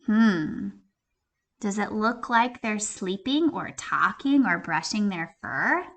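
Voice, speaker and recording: A woman teacher giving an interactive children's read-aloud, expressive and questioning, speaking directly to young listeners. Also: mid-range voice, slow. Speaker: primary school teacher.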